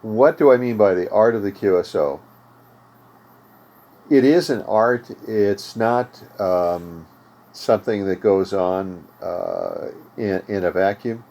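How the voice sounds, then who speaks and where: Low-voiced older American man explaining informally. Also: slow, mellow. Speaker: host of amateur radio tutorial series. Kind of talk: informal instructional talk. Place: home radio room.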